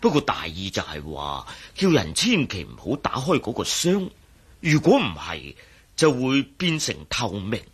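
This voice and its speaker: An actor playing a hesitant, scholarly professor in a radio drama, speaking Hong Kong Cantonese in a halting, pondering way. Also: male voice, medium pitch, huge pitch swings, a bit bright, slightly rough, full character voice. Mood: pondering, explanatory. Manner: halting, pondering, given to murmurs.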